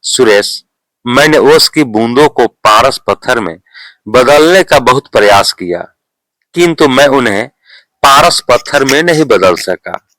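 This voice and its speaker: A calm village sage from a moral storybook, as a male narrator plays him. He speaks very slowly in a measured, solemn way, with a medium-pitched voice in a dry, close recording. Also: no echo, rough recording. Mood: gently solemn. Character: calm revered village holy man, instructing and promising.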